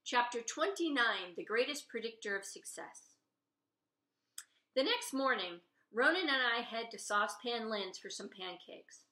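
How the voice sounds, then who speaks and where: Bright-voiced woman reading in an echoey room. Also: on the high side. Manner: openly fond of the characters. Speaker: author reading own novel. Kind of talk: expressive read-aloud of a children's novel with informal asides. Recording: roomy, echoey room sound.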